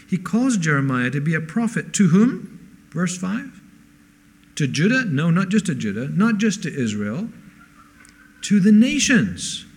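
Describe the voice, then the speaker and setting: A man born in Spain, with a mixed international accent, preaches a sermon at a church service. His voice sits a little higher than most men's. He keeps turning to the congregation with rhetorical questions. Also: darkish tone. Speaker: pastor.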